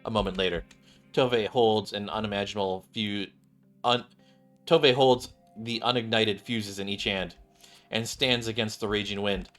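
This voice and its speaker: A man's voice: fairly low pitch, fairly even intonation, normal speed, a bit bright. A man streaming a game, reading its story text aloud and often stumbling and rereading lines. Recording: game music behind the voice. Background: game music.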